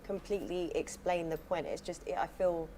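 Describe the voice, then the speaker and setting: A woman's voice: on the low side, fairly even intonation, moderate pace, mellow, slight background noise. A woman with a London accent, chatting casually and explaining her view with many fillers. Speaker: guest in a played clip. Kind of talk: casual chat.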